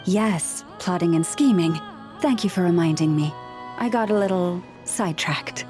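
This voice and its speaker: A woman playing a soft-spoken gentle animal whisperer. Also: on the low side, normal speed, faint music behind, close to an everyday voice. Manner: soft, patient, slightly wry. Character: gentle young woman who hears animals. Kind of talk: game character dialogue.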